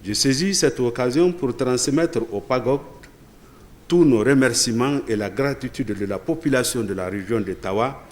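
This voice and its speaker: A man's voice: mid-range voice, moderate pace. A man from Niger, giving a formal ceremonial speech as a regional government official.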